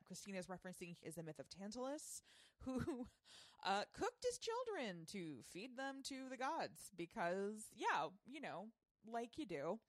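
A woman's voice: mid-range voice, no echo. A woman hosting a podcast, giving casual, chatty commentary. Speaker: podcast host.

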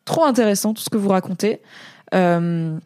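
Casual streamer, woman from France, slangy and hesitant, mid-pitched, close dry mic. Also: normal speed, darkish tone, no room sound. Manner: slangy, rambling, with frequent hesitations. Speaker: streamer reading chat and Reddit comments. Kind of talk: casual spoken commentary.

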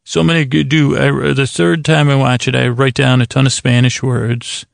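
A man hosting a bedtime podcast in a casual, meandering monologue, halting, stammering, repeating himself and gently self-deprecating. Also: medium pitch, level tone, gravelly, no echo, studio quality. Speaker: podcast host.

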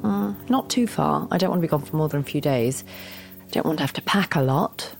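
A woman's voice: deep voice, fairly quick, vocal fry, slight background noise. A woman playing a restless, wry partner bantering at home in a naturalistic audio drama. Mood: wry, playful. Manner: wry, animated, complaining.

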